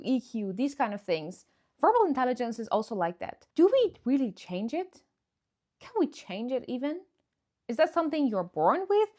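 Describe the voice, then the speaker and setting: Higher-voiced woman coach with a Persian accent. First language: Persian (Farsi). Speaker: presentation skills coach, video host. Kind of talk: instructional talk to camera.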